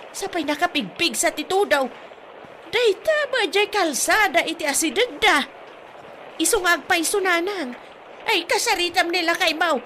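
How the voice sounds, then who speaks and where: A woman's voice: very high voice, sharp tone, a little hiss or hum, exaggerated voice. A woman acting a gossipy, fussing older mother in an Ilocano comedy drama.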